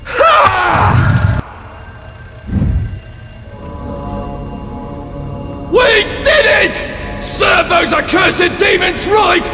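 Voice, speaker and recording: Shrill, shouting, excitable palace guard. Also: male voice, drawn-out words, gravelly, full character voice. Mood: triumphant. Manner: bossy, impatient, excitable. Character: frantic palace guard barking orders at the prince. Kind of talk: video game voice acting. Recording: echoing hall, crowd and music behind.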